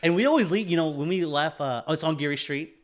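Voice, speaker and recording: A man's voice: fairly high pitch, fast talker. Laid-back Bay Area guy saying bro. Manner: laid-back, calls people bro and dude, swears often. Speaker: podcast co-host. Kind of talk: casual chat.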